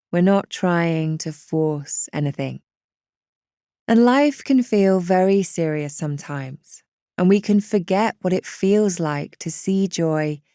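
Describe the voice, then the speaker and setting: A woman guiding a meditation in a gentle, reassuring, unhurried manner. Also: on the low side, smooth, no room sound, clean studio sound. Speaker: meditation guide. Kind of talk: guided meditation narration.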